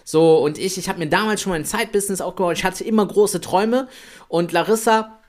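A man in his late twenties co-hosting a podcast, talking in a chatty, energetic way about building an online business. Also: high-pitched, a little fast. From Italy. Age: 20s. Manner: energetic, chatty, swears lightly. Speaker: podcast co-host. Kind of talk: casual podcast chat.

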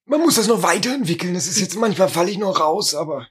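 A man putting on a raspy voice of an old man who has drunk and smoked too much. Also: high-pitched, animated, quick pace, pitched above the performer's own voice. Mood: amused. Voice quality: raspy, hoarse put-on voice. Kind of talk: casual podcast chat.

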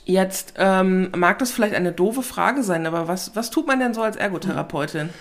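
A woman's voice: mid-range voice, animated, normal speed. A woman in her forties hosting an interview, talking casually and hesitantly with many fillers as she thinks aloud. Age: 40s. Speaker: podcast host.

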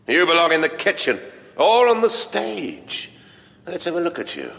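A pompous theatre director in an audio drama, his voice bright and forceful, swooping freely up and down. He is condescending and cutting, with a theatrical flourish. The sound carries a long hall reverb. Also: on the high side, wildly swooping pitch, normal speed, gravelly, heavily acted. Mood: condescending, intrigued. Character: pompous, sarcastic theatre director, bereaved father, middle-aged or older. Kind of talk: full-cast audio drama acting.

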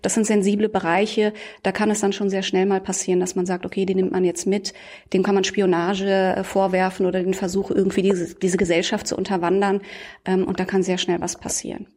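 A woman in her thirties answers interview questions at a brisk pace. She explains in long, fluent sentences and drops in small fillers as she thinks. Her voice sits at an everyday middle pitch. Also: level tone, darkish tone. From Iran, raised in Germany. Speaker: Iran researcher, guest.

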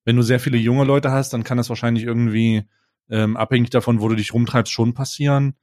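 Man, casual podcast co-host, relaxed and halting, lots of fillers, mid-pitched voice. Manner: laid-back, halting with fillers and restarts. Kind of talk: casual chat.

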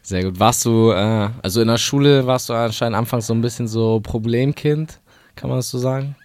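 A man's voice: mid-range voice, fairly quick, darkish tone. A man hosting a casual interview, easygoing, with short slangy reactions between questions. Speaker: interview host.

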